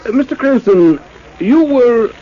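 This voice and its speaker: An actor playing a smooth-talking, scheming industrial promoter in a 1940s radio drama, politely insinuating with hesitations. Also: male voice, very high voice, animated, measured pace. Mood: oily, cautious. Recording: muffled, hissy 1940s radio recording.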